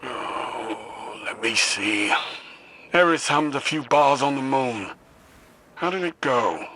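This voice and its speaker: Slow, deadpan stern veteran soldier. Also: male voice, medium pitch, a little hiss or hum. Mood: reluctant, defensive. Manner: deadpan, exasperated. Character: dry, stern, reluctant veteran soldier, male. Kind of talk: video game dialogue.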